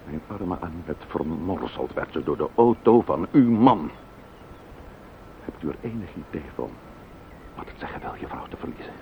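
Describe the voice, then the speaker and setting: An actor playing a grieving, indignant widowed neighbour in a radio play, formal and cutting, with a Netherlands Dutch accent. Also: male voice, low voice, animated, moderate pace. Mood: bitter, indignant. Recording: dull, noisy old radio play recording.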